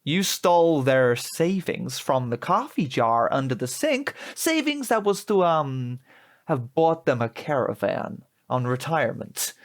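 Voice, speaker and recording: A man playing a prim, smugly polite young replacement son in a comic audio drama, formal, measured and reproachful. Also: medium pitch, sing-song.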